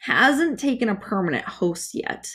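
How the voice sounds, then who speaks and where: A woman's voice: mid-range voice, very animated, unhurried, fairly bright tone, husky, heavily acted. Woman playing a sneering rooster-footed demon. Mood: scornful. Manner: sneering, chiding. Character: tall skinny rooster-footed male demon, scornful.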